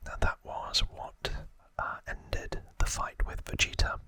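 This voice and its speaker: A man hosting an ASMR channel, whispering an informal trivia recap with hesitant, musing asides. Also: slow.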